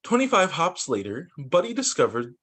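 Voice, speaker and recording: Expressive man reading a picture book aloud. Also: fairly high pitch, normal speed. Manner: expressive, exclaims and comments on the story. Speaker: teacher reading to young children. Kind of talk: animated picture-book read-aloud with asides.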